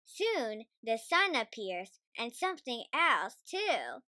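A woman reading a children's storybook aloud, careful and expressive in her scripted narration. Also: fairly high pitch, slow, no room sound. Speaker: storybook reader.

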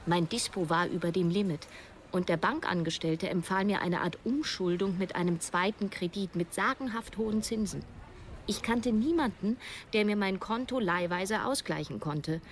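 A woman's voice: mid-range voice, moderate pace, a little hiss or hum, natural voice. An actress playing a disillusioned, sceptical writer in her thirties, narrating and acting in a radio drama with sober, wry, literary phrasing. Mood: sober, rueful. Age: 30s. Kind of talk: first-person radio drama narration and dialogue.

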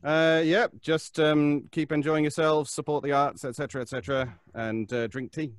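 A man's voice: fairly high pitch, level tone, moderate pace, clear, resonant tone, no room sound, clean studio sound. A man speaking casually as a podcast panelist, promoting audio drama on a live call. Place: indoors, on a video call.

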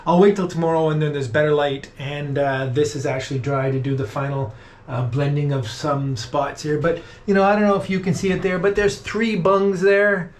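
A middle-aged man casually talking through his hands-on work to the camera, with halting pauses as he goes. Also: fairly high pitch, level tone. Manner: talks haltingly while working, with pauses. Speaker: vlog host, boat restorer. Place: inside a wooden boat in a shed and at its workbench. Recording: noisy workshop recording with clicks.

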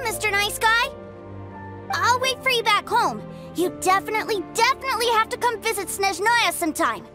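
Innocent young game boy: chatty, trusting, childlike, very high with bouncy intonation. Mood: cheerful, wistful. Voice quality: childlike, light. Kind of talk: video game voice acting.

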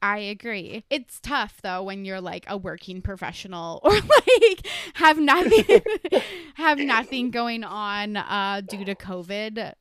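A woman's voice: mid-range voice, lively intonation, slow, smooth, dry recording, clean studio sound. A young woman from Canada hosting a podcast, chatting casually with lots of laughs and "like", her voice a little nasal from allergies. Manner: giggly, says "like" a lot, swears casually. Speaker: podcast host. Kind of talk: casual chat. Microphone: handheld performance mic.

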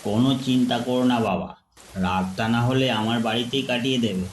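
A gentle older village man, played by a male storyteller speaks in a mid-pitched, hospitable and coaxing way. The sound is echoey, with faint rain noise behind it. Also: steady tone, moderate pace, close to an everyday voice. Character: kindly insistent older village man living alone.

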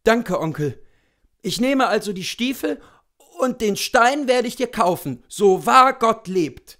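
A man's voice: high voice, animated, slow pace, pushed and bright, voice pushed up, full character voice. A man reading a story and voicing a young coachman lad, eager and a little embarrassed, coaxing earnestly. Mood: grateful.

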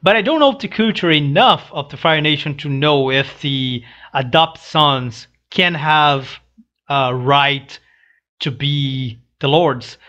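A young man who is not a native English speaker, giving casual reaction commentary in a halting, hesitant way into a headset mic. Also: fairly high pitch, bright, forceful tone. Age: young adult. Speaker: reaction channel host. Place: small room indoors. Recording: dull lo-fi headset audio.

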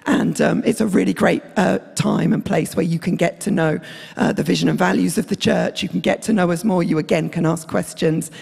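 A woman in her thirties with a hoarse, croaky voice, giving friendly, rambling church notices with many fillers into a handheld mic on a hall stage. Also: lowish voice, brisk, darkish tone. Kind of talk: casual announcements. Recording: long hall reverb.

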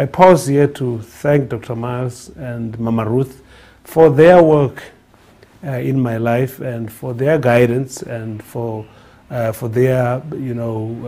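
A man's voice: mid-range voice, dark tone. A man in his forties from South Africa with a South African accent, giving an earnest personal talk full of fillers and restarts. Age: 40s. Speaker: guest speaker. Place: studio with printed backdrop.

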